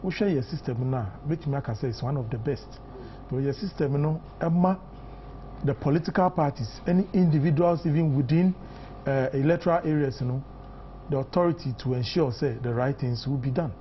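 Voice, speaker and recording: A man's voice: on the high side, fairly quick, muffled recording, a little hiss or hum. A Ghanaian man in his fifties, a political guest on a morning newspaper review, mixing English and Twi with many fillers and emphatic points. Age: 50s.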